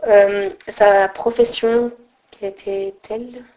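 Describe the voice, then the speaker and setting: Flat-voiced woman interviewer. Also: medium pitch, takes it slowly, low-quality recording. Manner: brief prompting questions. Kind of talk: oral history interview.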